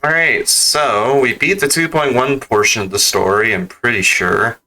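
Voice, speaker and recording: A man's voice: mid-range voice, moderate pace, pushed and bright, faint music behind, low-quality recording. A man commentating casually on a game livestream, swearing freely in blunt, slangy reactions. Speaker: game streamer.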